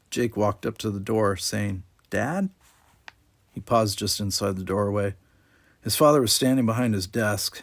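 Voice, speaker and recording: A man reading a story aloud as an expressive audiobook narrator. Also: on the low side, dry recording. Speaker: amateur audiobook reader.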